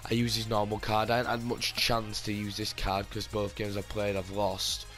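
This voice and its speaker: A slightly hoarse man with a low voice talks through his team in casual gaming commentary. He rambles, circles back and restarts his sentences, and his delivery stays very flat. Faint music plays underneath. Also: normal speed. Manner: rambling, with many fillers and restarts. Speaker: gaming channel host.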